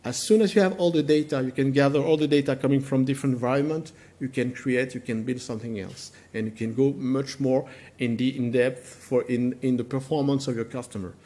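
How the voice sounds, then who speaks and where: A man who is not a native English speaker, presenting at a conference in a halting way, repeating words as he looks for phrasing. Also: medium pitch, steady tone, warm tone, slight background noise.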